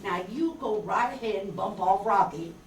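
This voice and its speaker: A woman's voice: mid-range voice, expressive, moderate pace, lightly acted. A woman playing a scheming, gold-digging wife in an amateur radio play, coaxing with false sweetness. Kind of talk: amateur old-time radio play acting. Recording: echoey, distant, noisy room recording.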